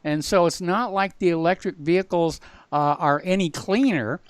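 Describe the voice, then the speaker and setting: A man hosting a talk radio show, taking calls and commenting on the news in a halting, blunt way full of "uh" fillers. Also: high voice, smooth, no room sound. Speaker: radio host.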